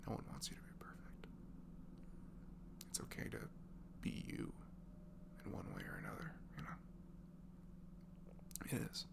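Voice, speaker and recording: A man with a low, very creaky voice records an intimate ASMR message for a friend. He talks softly and breathily, often slipping toward a whisper. His delivery rambles in an informal, affectionate and reassuring way. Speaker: amateur ASMR audio creator playing a supportive friend.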